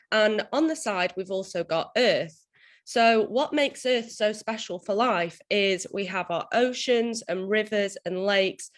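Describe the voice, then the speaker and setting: A woman PhD student explaining science to the public in a mid-pitched voice. Her voice is a little croaky because she is unwell. She walks listeners plainly through her work.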